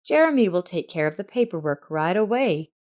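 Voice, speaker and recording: A woman voicing a gentle, affectionate stepmother in a narrated story. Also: on the low side, sing-song, brisk. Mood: warm, pleased. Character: gentle, affectionate young stepmother, caring and tender.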